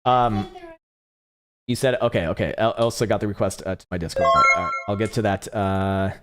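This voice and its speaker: A man's voice: on the low side, steady tone, over loud music. A young man hosting a live crypto chart stream, talking casually and profanely, laughing often and starting to lose his voice. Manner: rapid, profane, laughs often, restarts words. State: losing his voice. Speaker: livestream host, crypto chart analyst.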